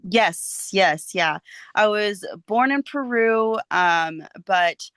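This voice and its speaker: A woman from the United States answers questions as a podcast guest in a casual, conversational way. Her pitch is average for a woman, and the sound is very dry. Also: expressive, very slow, fairly bright tone, clear, resonant tone, no room sound. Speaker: podcast guest, professional wrestler. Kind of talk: casual interview chat.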